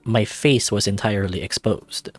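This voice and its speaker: A man's voice: on the low side, fairly even intonation, moderate pace. A man reading a visual novel aloud as narrator. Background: music.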